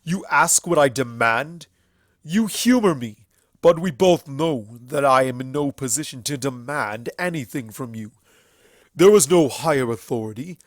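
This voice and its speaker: A man voicing a bitter, grieving, proud ancient stallion in a storybook reading, forceful and declamatory. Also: medium pitch, no echo, clean studio sound, close to an everyday voice. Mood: incredulous, angry. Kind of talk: dramatic fan fiction character reading.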